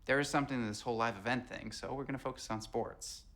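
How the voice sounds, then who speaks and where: A man's voice: medium pitch, fairly even intonation, fast, a bit bright, lightly acted. An actor playing an eager, boyish 1920s radio man, friendly and enthusiastic in a period TV drama. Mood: confiding.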